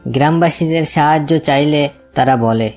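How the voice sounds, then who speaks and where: Female narrator for a moral story, low register, scripted delivery, light music underneath. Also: moderate pace. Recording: music behind the voice. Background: background music.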